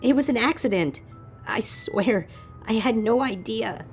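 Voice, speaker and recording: A woman's voice: on the high side, a little slow. Woman playing a guilt-stricken, anguished young pony filly. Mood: desperate pleading. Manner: stammering, pleading. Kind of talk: dramatized fan fiction audio reading. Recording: music under the voice.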